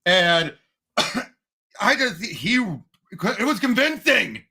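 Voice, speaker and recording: A man chats excitedly about movies as a podcast co-host. His voice sits high and is very bright and piercing, with a gravelly fry running through it. He is emphatic and quick to cut in. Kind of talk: casual excited movie discussion.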